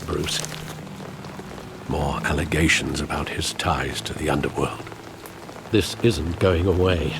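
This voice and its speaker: An actor playing a loyal, weary older butler and guardian in a video game, speaking in a measured, earnest, formal way. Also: male voice, a bass voice, gravelly, background noise, heavily acted. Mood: somber. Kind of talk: dramatic video game acting.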